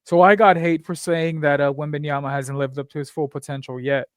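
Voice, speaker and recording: A man's voice: a little high, steady tone, a little fast, smooth, no echo, studio quality. A young man from near the Texas border chatting casually on a podcast, joking, swearing and rambling through stories. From Texas, near the Mexican border. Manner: profane, joking, rambling storyteller.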